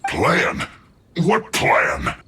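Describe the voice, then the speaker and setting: A sulky, evasive enemy soldier. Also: male voice, medium pitch, wildly swooping pitch, extremely slow, sharp tone, full character voice. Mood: defensive. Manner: defensive, pleading excuses. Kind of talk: video game dialogue. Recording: roomy echo with game music. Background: game music.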